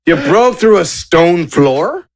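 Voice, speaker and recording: Animated man running a tabletop game. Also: sits high, slow, a loud crowd. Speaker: game master. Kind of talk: casual improvised tabletop roleplay.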